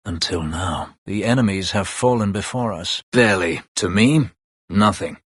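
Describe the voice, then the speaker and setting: A man playing a brooding, bitter elf warrior and escaped slave in a video game, terse, grave and sardonic, with a gravelly, husky voice. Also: low voice, moderate pace, dry recording, clean studio sound. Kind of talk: video game character voice lines.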